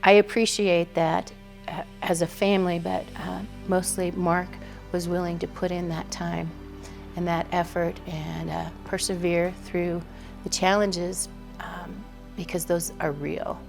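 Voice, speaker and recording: Creaky-voiced American woman in her fifties. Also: lowish voice, flat delivery, measured pace. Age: 50s. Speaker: interviewee, broadcaster's wife. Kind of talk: reflective interview. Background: background music.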